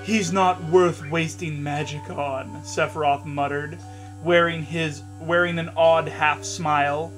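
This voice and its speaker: A man's voice: a little high, flat delivery, slow pace, a bit bright, faint music behind, rough recording. A man co-hosting a comedy podcast, reading fan fiction aloud in a mocking, theatrical way with joking asides and stumbles over the text.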